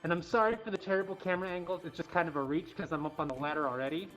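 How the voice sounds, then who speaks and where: A woman's voice: on the low side, fairly even intonation, very fast, a bit bright. A woman hosting a casual livestream, chatting informally and speaking affectionately to animals. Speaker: cat cafe livestream host. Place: indoors in a cat cafe. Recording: dull livestream audio, music behind.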